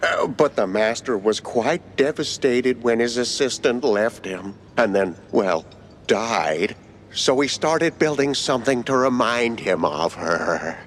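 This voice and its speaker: A man's voice: medium pitch, animated, slow, a little hiss or hum. An actor playing an eccentric, obsequious lab caretaker in a cartoonish video game, rambling in a theatrical gothic henchman manner. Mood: melodramatic. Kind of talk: cartoonish game cutscene acting. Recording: long echoing reverb.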